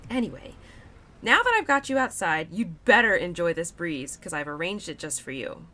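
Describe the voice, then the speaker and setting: A woman playing a playful, affectionate autumn spirit and protective childhood friend in an expressive, chatty audio roleplay. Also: fairly low pitch, moderate pace. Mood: teasing, playful. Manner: expressive, chatty, teasing, with laughs and sighs. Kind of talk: girlfriend audio roleplay acting.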